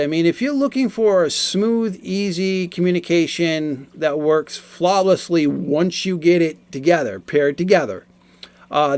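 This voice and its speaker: A man in his forties giving a casual, explanatory product review, talking in a halting, repetitive way. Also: a little high.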